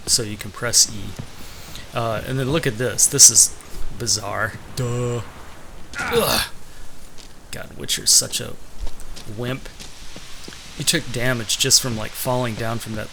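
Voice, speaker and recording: A man's voice: medium pitch, measured pace, gravelly, long pauses, pushed and bright, a little hiss or hum. A man giving casual, sardonic gameplay commentary with a complaining streak. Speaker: let's play gamer.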